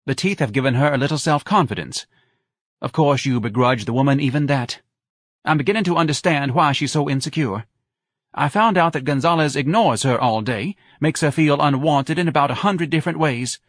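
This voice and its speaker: A man's voice: a little high, fairly quick, no echo, clean studio sound, voice pushed up, lightly acted. A man voicing a self-righteous, melodramatic middle-aged wife in an audiobook, nagging and reproachful. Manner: indignant, reproachful, dramatic.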